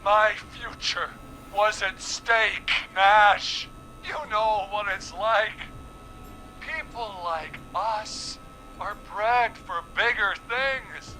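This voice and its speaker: A man's voice: sits high, very slow, bright, forceful tone, soft background music, heavily acted, rough recording. An actor playing an arrogant, defensive young man from a powerful small-town family in a video game, sounding entitled and self-justifying.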